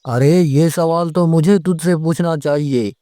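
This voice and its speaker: A man acts out a fretful village politician in a horror cartoon, high in pitch and soft-edged in tone. He exclaims and pleads in homely speech, captured dry and close. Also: measured pace, no room sound, natural voice. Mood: urgent. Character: worried village politician protecting his servant, excitable male. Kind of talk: animated horror story dialogue.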